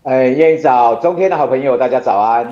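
A man's voice: mid-range voice, brisk, dark tone. A man of about forty with a Taiwanese accent, giving emphatic political commentary full of rhetorical questions. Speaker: city councillor, guest commentator. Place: indoor room on a video call. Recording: dull, roomy video-call audio.

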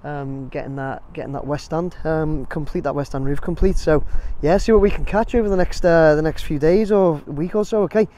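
A man's voice: fairly high pitch, fairly quick, few pauses, mellow, a little hiss or hum. A man with an English accent casually narrating his drone footage outdoors, rambling and chatty. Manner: rambling, chatty, addresses viewers as guys. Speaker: drone videographer. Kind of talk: casual vlog commentary. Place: outdoors near a construction site.

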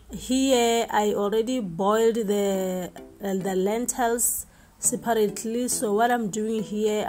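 A woman's voice: a little high, dark tone. A woman chatting casually and hesitantly while she cooks in her home kitchen, with many fillers as she talks to viewers. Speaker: vlogger cooking for her family. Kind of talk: casual cook-with-me narration.

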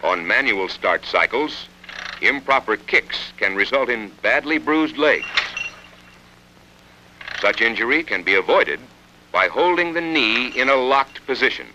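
An American man reading scripted instructional narration for a training film in a measured, instructive manner. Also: mid-range voice, animated, bright, forceful tone, rough recording. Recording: 1960s film soundtrack, faint noise.

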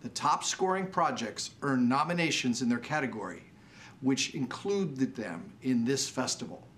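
A man's voice: medium pitch, animated, normal speed, fairly bright tone. Formal American man in his sixties, slightly noisy. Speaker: awards show host; professor. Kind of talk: formal scripted presentation to camera. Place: indoor TV studio.

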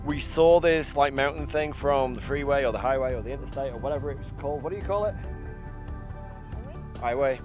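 A man's voice: medium pitch, fairly quick. A man in his thirties chatting casually to the camera in a travel vlog, rambling a little, recorded outdoors in a windy open field on a close handheld camera mic. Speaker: vlogger. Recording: background music under the voice.

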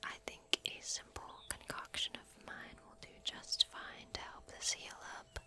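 Slow whispering young woman as a kind witch. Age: young adult. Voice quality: breathy, unvoiced whisper. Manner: slow, soft, deliberate storytelling as a kind witch. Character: kind, mysterious forest witch healer. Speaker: ASMR roleplay performer. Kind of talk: whispered ASMR fantasy roleplay. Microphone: close microphone.

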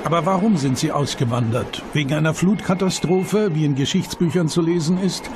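A man reading scripted documentary narration with measured, dramatic phrasing and rhetorical questions. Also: a little high, noisy background. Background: background music.

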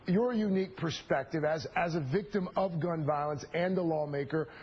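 A male radio host asking probing questions, with frequent fillers and restarts. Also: a little high. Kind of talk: broadcast interview. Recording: dull lo-fi broadcast audio, faint hiss.

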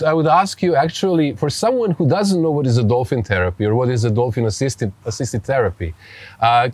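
A man's voice: mid-range voice, no room sound. A man in his forties from Serbia, hosting a podcast interview outdoors by a pool in English with a Serbian accent, hesitant and searching for words. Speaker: podcast host.

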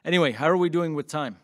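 A man's voice: fairly high pitch, fairly bright tone, dry recording, lightly acted. Actor as wisecracking radio host, male, medium voice, close dry sound, chatty, casual, audio drama.

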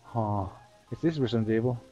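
A man's voice: fairly low pitch, brisk, dark tone, dull audio, faint music behind. A man whose native language is Norwegian, commenting on a game he is playing in a hesitant, rambling way. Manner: rambling, hesitant, laughs often. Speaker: game streamer. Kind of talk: let's play commentary.

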